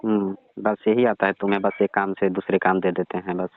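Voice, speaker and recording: A demanding, domineering old father-in-law acted by a man badgers and dismisses in a conversational phone skit. His voice is fairly low and monotone, coming through a muffled, narrow phone connection. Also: a little fast, no echo, lightly acted. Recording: dull, band-limited phone call recording.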